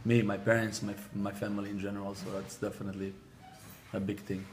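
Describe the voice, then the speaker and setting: A man's voice: lowish voice, level tone, quick pace, a little hiss or hum. A man from Israel whose first language is Hebrew, answering interview questions as a car sales manager, hesitant and full of restarts and fillers.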